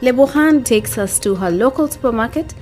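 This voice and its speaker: A woman's voice: fairly high pitch, expressive, fairly quick, darkish tone, soft background music. Woman narrating a news documentary.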